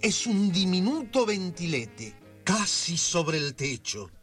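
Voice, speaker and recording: A man narrating a scripted radio drama as a measured, theatrical storyteller. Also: high voice, sing-song, fairly bright tone, soft background music, heavily acted. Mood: storytelling.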